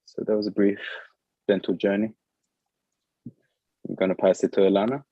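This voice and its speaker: A man in his thirties from Iran speaks with a very low, deep voice. He runs through his career as a dentist in brisk, matter-of-fact steps over dry, muffled video call audio. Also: little pitch movement, no room sound. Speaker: foreign-trained dentist, guest speaker. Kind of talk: casual self-introduction.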